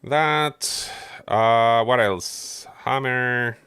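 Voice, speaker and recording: A man's voice: medium pitch, very slow, pushed and bright, clean tone, dry recording. A man doing casual livestream game commentary, muttering his running thoughts to himself as he plays. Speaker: game streamer.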